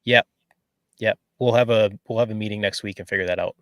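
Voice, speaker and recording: A man's voice: lowish voice, fairly even intonation, quick pace, fairly bright tone, no room sound. Dry-sounding male paranormal investigator. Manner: explains in stop-start bits, repeats words. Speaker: paranormal investigator, podcast regular. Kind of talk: casual chat.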